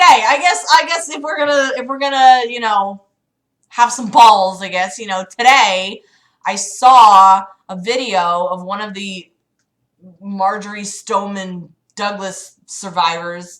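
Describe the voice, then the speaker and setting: A woman's voice: medium pitch, steady tone, measured pace, a bit bright. A woman chatting casually as a livestream host, rambling hesitantly in a self-deprecating way. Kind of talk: casual chat with livestream audience.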